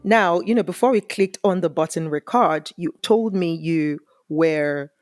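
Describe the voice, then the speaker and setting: A woman's voice: on the low side, measured pace, no room sound, clean studio sound. Bubbly, upbeat woman host with music. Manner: upbeat, bubbly, excited exclamations. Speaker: podcast host. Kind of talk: podcast interview.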